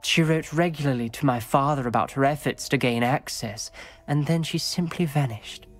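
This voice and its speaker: A man's voice: mid-range voice, moderate pace, soft background music, natural voice. A man playing a troubled, principled teenage schoolboy wizard in a video game, speaking with a British accent in a formal, earnest way. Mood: grave, wary. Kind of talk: dramatic video game voice acting.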